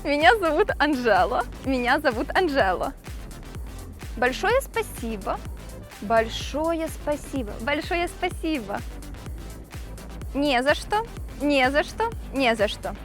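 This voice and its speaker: A woman teaching phrases in a slow lesson style, deliberately repeating each one. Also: sits high, sing-song, soft background music. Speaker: language teacher demonstrating phrases.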